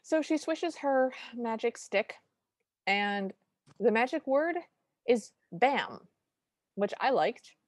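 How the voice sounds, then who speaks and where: A woman co-hosting a podcast, casually recapping a film with dry, deadpan humor. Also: on the high side, slow, long pauses, no room sound. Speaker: podcast co-host.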